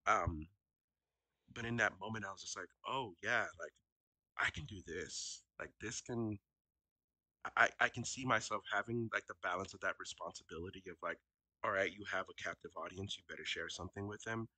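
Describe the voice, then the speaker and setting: A man whose first language is Haitian Creole speaks in a low voice with a very bright, forceful edge. He tells his story as a podcast guest, reflective and casual, and laughs easily. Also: moderate pace, no echo, poor audio. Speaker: podcast guest, actor and educator. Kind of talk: casual interview conversation.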